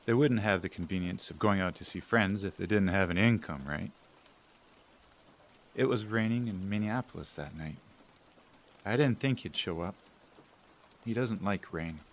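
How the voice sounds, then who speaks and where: Man acting bitter family man, lowish voice. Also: normal speed, long pauses. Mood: weary, resentful. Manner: sardonic. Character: bitter, overworked family man resentful of his brother. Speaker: actor. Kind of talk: audio drama acting, dialogue and narration to the audience.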